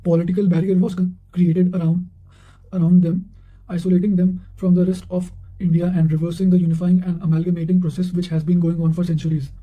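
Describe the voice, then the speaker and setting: A man's voice: high-pitched, flat delivery, a little fast, narrow, tinny audio. A man reading quotations aloud for study revision, now and then stumbling and correcting himself. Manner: reads with stumbles and self-corrections.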